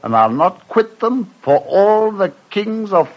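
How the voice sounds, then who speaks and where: An actor playing a witty, carefree English gentleman traveller in a 1950s radio drama, lively and mocking. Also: high voice, takes it slowly, full character voice. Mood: breezy. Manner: playful, mocking, lively delivery. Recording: dull lo-fi old radio broadcast.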